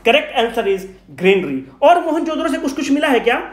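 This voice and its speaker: Guy teaching exam prep, high voice, echoey room, asks questions then answers them himself. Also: sing-song, fairly quick, slight background noise. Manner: informal, addresses students directly; switches between Hindi and English. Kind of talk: energetic lecture to exam students.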